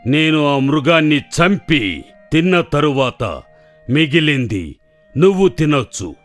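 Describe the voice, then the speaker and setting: A proud lion in a children's cartoon tale. The voice is on the high side and very animated, yet slow and commanding, with music underneath. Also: male voice, full character voice. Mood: instructing. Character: proud, commanding male lion of a moral fable. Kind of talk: children's cartoon story acting.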